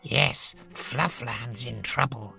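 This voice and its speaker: Storybook creature, male, female reader: heavily creaking low voice, grave and deliberate, soft music underneath. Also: heavily acted. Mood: grave, dismayed. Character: small male flying toy creature, earnest, grave helper.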